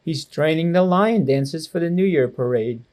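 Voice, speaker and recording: A man's voice: on the high side, normal speed, darkish tone, natural voice. A man reading the part of a firm, serious mother in a children's storybook.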